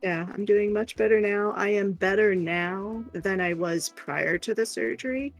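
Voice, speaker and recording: Creaky-voiced woman chatting casually on stream. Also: mid-range voice, measured pace, over music. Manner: candid, rambling, informal. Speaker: livestream co-host. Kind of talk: casual livestream chat.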